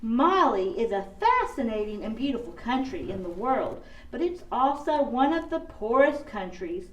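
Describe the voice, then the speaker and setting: A woman voicing a curious, excitable puppet child in a children's puppet show, with an animated, wide-eyed manner. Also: on the high side, moderate pace, dull audio. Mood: earnest. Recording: echoey room, far from the mic.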